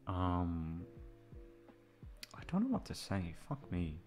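Deep-voiced young man rambling casually. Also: huge pitch swings, slow, darkish tone. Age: 20s. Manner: self-deprecating, hesitant, freely swearing. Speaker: voice actor addressing listeners. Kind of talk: casual rambling chat to listeners. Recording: music playing behind the voice. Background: background music.

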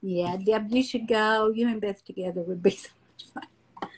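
A woman's voice: mid-range voice, steady tone, moderate pace, slight background noise, poor audio. A woman, hosting a casual live chat in her craft room, folksy and chatty. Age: 60s. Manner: folksy, chatty, laughs often. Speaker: livestream host.

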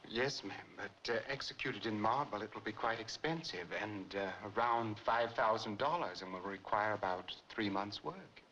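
Bright-voiced, deferential 1940s salesman. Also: fairly low pitch, normal speed, hardly any pauses. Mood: polite, hesitant. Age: middle-aged. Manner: polite, hesitant, businesslike. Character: deferential middle-aged monument salesman. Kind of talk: 1940s film acting. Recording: dull, faintly noisy 1940s film soundtrack.

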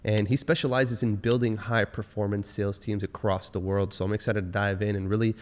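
A man's voice: lowish voice, level tone, a little fast, darkish tone, long reverb tail. A man hosting a casual podcast interview in a chummy, informal way. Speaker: podcast host.

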